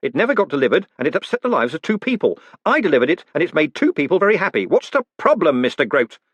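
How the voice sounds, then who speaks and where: A man voicing a sharp, quick-witted male postmaster who talks in a brisk, wry way. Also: a little high, big pitch swings, husky, no echo, voice pushed up, heavily acted.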